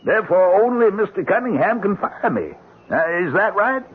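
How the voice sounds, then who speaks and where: An actor playing an indignant, plain-spoken chauffeur in a radio mystery, with a husky voice and folksy, agitated manner. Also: male voice, high-pitched, very animated, moderate pace, a little hiss or hum. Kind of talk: radio mystery drama acting. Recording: muffled old radio broadcast.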